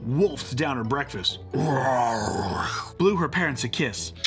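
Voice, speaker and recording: A man in his thirties narrates a children's picture book with very lively, swooping intonation. His delivery is playful and comedic, over faint music. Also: mid-range voice, slow pace. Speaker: storytime host reading aloud.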